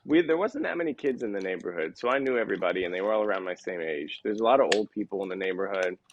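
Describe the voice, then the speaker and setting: A man's voice: on the low side, lively intonation, very fast, dull audio, background noise. A man from the Midwest, a podcast guest, telling rambling, easygoing stories about his childhood in casual chat. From American Midwest.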